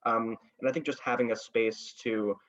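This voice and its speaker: A man speaking as an actor on a panel, reflecting in a halting, hesitant way with many pauses. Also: mid-range voice, steady tone, a bit bright, dull audio. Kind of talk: reflective talkback remarks.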